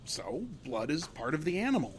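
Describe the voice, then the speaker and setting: Animated, coaxing young butcher. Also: male voice, fairly high pitch, normal speed. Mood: persuading. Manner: coaxing, insistent, folksy. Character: blood-drinking young meat cutter, insistent, secretive and romantic. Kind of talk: horror audio drama dialogue. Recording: noisy ambience behind the voice.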